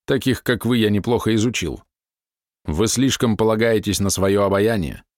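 A man's voice: lowish voice, moderate pace, no room sound, clean studio sound, close to an everyday voice. A man voicing an irritable middle-aged male writer who snaps back with indignant retorts. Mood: irritated.

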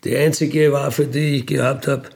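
Gravelly man telling his story. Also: mid-range voice, little pitch movement, moderate pace, a little mellow. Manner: colloquial, emphatic, seeks agreement with tag questions. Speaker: interviewee, career crook telling his life story. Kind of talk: casual personal storytelling.

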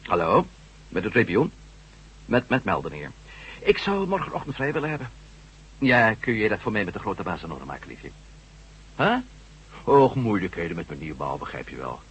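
An actor playing a wry, easygoing newspaper reporter in a radio drama, with a breezy, informal manner. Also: male voice, fairly low pitch, sing-song, moderate pace, pauses often, fairly bright tone, slightly rough, full character voice, rough recording.

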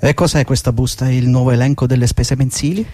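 A man's voice: mid-range voice, fairly even intonation, fast, a little mellow, faint music behind, close to an everyday voice. An actor playing an old, loyal, anxious and deferential employee in a radio drama, hesitant and repeating his words. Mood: dutiful, concerned.

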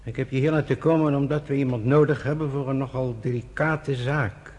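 An actor in a radio play, playing a pragmatic, avuncular senior British official with a husky, urbane, confiding manner. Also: male voice, medium pitch, steady tone, brisk, darkish tone, close to an everyday voice. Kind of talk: Dutch radio play acting.

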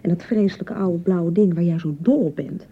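An actress playing an insecure young newlywed wife in a radio drama, nagging and reproaching in repeated, sarcastic phrases. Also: female voice, fairly low pitch, normal speed, muffled recording, a little hiss or hum, natural voice. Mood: sarcastic, jealous. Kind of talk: naturalistic radio drama acting.

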